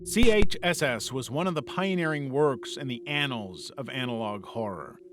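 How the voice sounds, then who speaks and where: A man's voice: mid-range voice, animated, normal speed. A man reading a scripted narration in the role of a video essay narrator. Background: background music.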